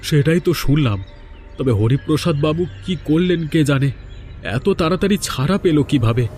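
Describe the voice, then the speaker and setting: A man's voice: fairly high pitch, expressive, moderate pace, a little mellow, faint music behind, close to an everyday voice. A man voicing a suspicious, dismissive, taunting village man in a cartoon drama. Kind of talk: Bengali cartoon voice acting.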